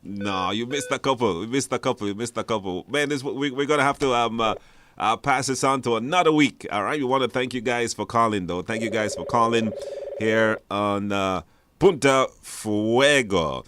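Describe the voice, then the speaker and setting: A man's voice: mid-range voice, normal speed, a bit bright, a little hiss or hum. A man hosting a live radio phone-in, chatty and informal, often repeating his phrases. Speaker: radio show host. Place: radio studio.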